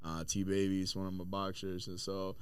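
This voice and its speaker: A man's voice: sits low, little pitch movement, a little fast, clear, resonant tone, dry recording. A man who coaches boxing, talking casually as a podcast guest in a studio, slangy and emphatic with some swearing. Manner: slangy, profane, emphatic, often says "bro". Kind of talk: casual chat.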